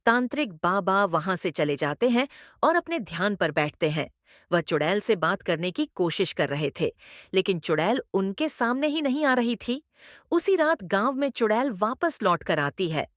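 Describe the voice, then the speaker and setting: A woman's voice: mid-range voice, very animated, normal speed, no echo, clean studio sound. A woman narrating a scripted horror story as storyteller.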